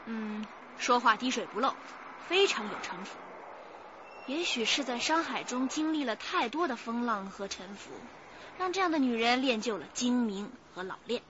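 A woman acts out an opinionated young policewoman in a radio drama. The voice is fairly high and animated, confident in delivery, on a slightly noisy recording. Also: normal speed, dull audio, lightly acted. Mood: admiring. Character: spirited, opinionated young female police detective.

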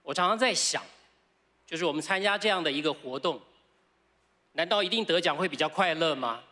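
A middle-aged man with a Taiwanese accent speaks as an award winner on a theatre stage. His voice is forceful and bright, set a little higher than most men's. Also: animated, fairly quick. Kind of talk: acceptance speech. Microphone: stand microphone.

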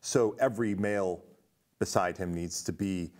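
A man's voice: low voice, moderate pace, darkish tone. A middle-aged man hosting a casual film discussion in an indoor studio. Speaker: host. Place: indoor studio set with curtains.

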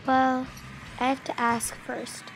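A woman's voice: high voice, level tone, slow, soft background music, low-quality recording. A woman voicing an imaginative, hesitant ten-year-old boy who mutters playfully to himself in a video game.

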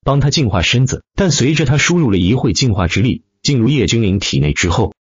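Male audiobook narrator, dramatic fantasy, emphatic delivery, husky tone, dry but slightly dull recording. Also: mid-range voice, very animated, brisk, no echo. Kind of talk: dramatic scripted narration of a fantasy web novel.

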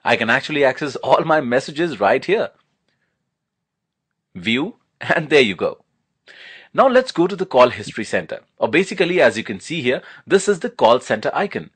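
A man's voice: medium pitch, big pitch swings, normal speed, slightly rough. A man narrating a product unboxing and demo as a reviewer, in an enthusiastic, promotional manner.

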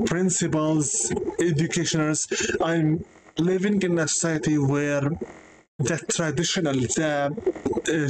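A young man, not a native English speaker, delivering an earnest personal vlog monologue with frequent hesitations and self-corrections. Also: on the high side. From Canada. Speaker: vlogger. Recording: noisy background.

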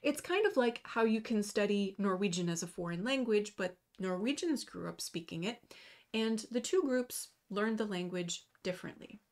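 A woman in her thirties reads a prepared lecture, slowing down to weigh each technical term. Her voice sits at an ordinary middle pitch. She speaks to a webcam from a small room at home. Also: animated, a little mellow. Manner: measured, careful reading with slow emphasis on terms. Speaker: runology lecturer. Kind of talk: scripted lecture over slides. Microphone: webcam video in the corner.

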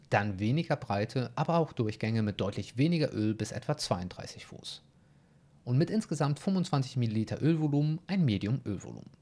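Lively young man explaining step by step. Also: medium pitch. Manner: methodical, technical explaining. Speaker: bowling coach. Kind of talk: explanatory tutorial. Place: small home room. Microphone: desk mic in front.

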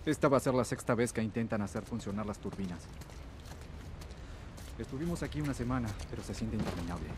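An actor playing a rugged, easygoing middle-aged man in a dubbed video game, relaxed and friendly. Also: medium pitch, fast, natural voice. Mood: calm. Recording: game audio with ambient noise.

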